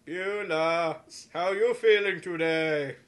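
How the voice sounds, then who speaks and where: A man voicing a fussy, preachy health visitor with drawn-out, mock-earnest delivery in a comic radio play. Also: a little high, fairly bright tone. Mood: solicitous.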